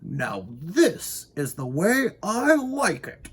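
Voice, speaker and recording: A man voicing a fretful clock shop owner from a storybook, exclaiming in a drawn-out, dramatic way. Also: sits high, sing-song, fairly bright tone, slightly rough, pitched above the performer's own voice, exaggerated voice. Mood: contented. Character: fretful clock shop owner who loves cheerful noise.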